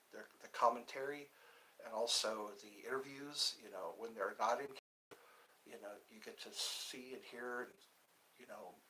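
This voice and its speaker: A man's voice: medium pitch, rough recording. A middle-aged man keeping his voice down as he chats to viewers on a phone livestream from an indoor room, meandering through stories with lots of fillers. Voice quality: hushed. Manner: talking quietly, keeping voice down. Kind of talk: rambling casual livestream chat. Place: indoor room with thin walls.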